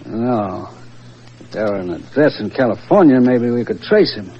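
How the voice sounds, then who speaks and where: An actor playing a skeptical, matter-of-fact police inspector in an old-time radio thriller. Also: male voice, medium pitch, lively intonation, moderate pace, lightly acted. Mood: grim, brisk. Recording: dull, slightly noisy old radio recording.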